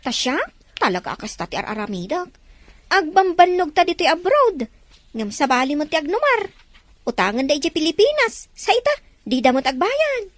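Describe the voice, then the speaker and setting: A woman's voice: extremely high pitch, very animated, normal speed, dry recording. A woman playing a young domestic worker abroad in an audio drama, earnest and pleading with her employer. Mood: advising.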